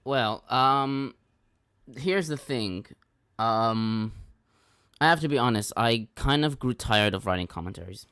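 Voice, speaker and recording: A man's voice: medium pitch, a little slow, a bit bright, clear, resonant tone, no room sound, clean studio sound. A man from Israel whose first language is Hebrew, speaking hesitantly in a casual panel discussion and stumbling over his words. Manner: hesitant, stumbling, repeats himself.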